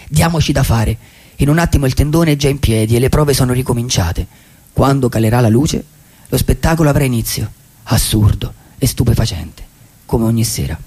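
A male actor playing a melancholy wandering drifter who tells his life in a poetic, theatrical radio monologue with a husky, gravelly voice. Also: on the high side, darkish tone, exaggerated voice. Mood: storytelling. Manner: theatrical, lyrical, with pauses for effect.

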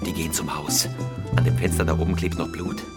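An actor playing a cold, commanding professional robber with a curt, terse manner in a German radio crime drama. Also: male voice, a bass voice, little pitch movement, a little fast. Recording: music behind the voice.

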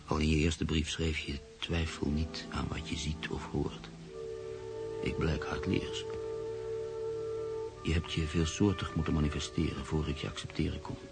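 A man's voice: extremely low pitch, steady tone, moderate pace, gravelly, long pauses, loud music behind the voice. An actor playing a brooding, cynical man in love who narrates a radio drama. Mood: brooding, reflective.

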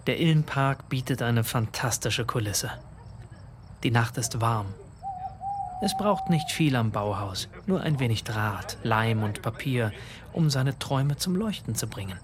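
A man's voice: medium pitch, lively intonation, measured pace, a crowd in the background, close to an everyday voice. A man playing the observant, atmospheric storyteller of a radio drama, reading scripted narration in short scenes. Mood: evocative.

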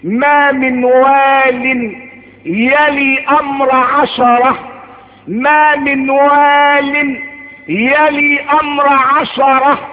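A man's voice: extremely high pitch, flat delivery, very slow. A man with an Egyptian accent delivering an impassioned sermon, emphatic and declamatory, repeating phrases for effect. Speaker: preacher. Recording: phone-like band, long hall reverb.

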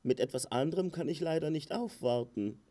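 A man's voice: a little high, expressive, brisk, dark tone, voice pushed up, close to an everyday voice. A man voicing a genteel crane in a fable, with a polite, mannered, slightly plaintive delivery. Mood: courteous, smug. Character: genteel male crane, courteous, slightly plaintive dinner guest. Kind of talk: acted fable storytelling.

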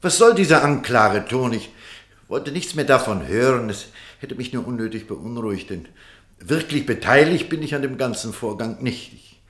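An actor in a German radio play as an authoritative, defensive surgeon with a troubled conscience, speaking formally and insistently. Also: male voice, mid-range voice, expressive, moderate pace, a bit bright, natural voice. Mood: indignant, defensive. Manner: formal, insistent, brusque with hesitations.

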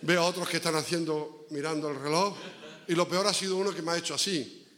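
A man's voice: on the high side, fairly even intonation, quick pace, a bit bright. Guy preaching in a church, chatty sermon, repeats himself and asks lots of questions, big reverb. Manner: repeats phrases for emphasis, asks rhetorical questions. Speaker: visiting preacher. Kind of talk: conversational evangelical sermon. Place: inside a church. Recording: echoey church hall reverb.

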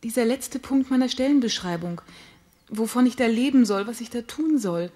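A woman's voice: a little high, expressive, moderate pace, warm tone. A woman playing a naive, lovesick young woman who speaks humbly and anxiously in a radio play. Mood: pleading. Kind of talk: radio drama acting.

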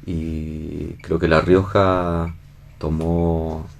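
A man's voice: low voice, flat delivery, very slow, creaky. A man with an Argentine accent, a director explaining his work in casual interview talk, often checking for agreement. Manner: seeks agreement with tag questions. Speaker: theatre and film director being interviewed.

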